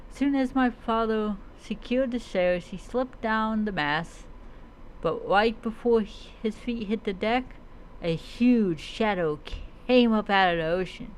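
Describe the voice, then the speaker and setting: Slow, halting fantasy storyteller. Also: mid-range voice, a little hiss or hum, rough recording. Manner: halting reading with frequent stumbles and repeated words. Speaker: streamer narrating own roleplay character's story. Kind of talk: slow dramatic storytelling of a fantasy roleplay tale.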